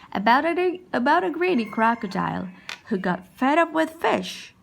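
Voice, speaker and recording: A woman reading a children's picture book aloud in an expressive, animated and unhurried storytelling manner. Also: medium pitch, vocal fry, slight background noise. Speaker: storybook reader.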